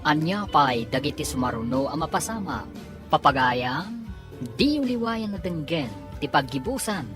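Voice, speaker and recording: A woman's voice: low-pitched, very animated, moderate pace, music in the background. A woman announcing the closing credits of a radio drama in a formal style.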